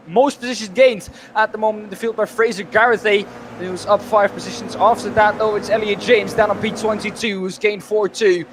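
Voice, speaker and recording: A man with a notably high voice co-commentates a sim race, giving measured analysis broken up by frequent hesitations. Faint noise hums behind him. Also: fairly bright tone. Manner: measured, analytical, many fillers.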